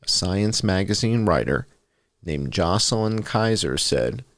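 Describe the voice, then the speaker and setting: A man's voice: sits low, lively intonation, a little slow, fairly bright tone, dry recording. A man reading a scripted essay aloud as a narrator, in the style of a bedtime story.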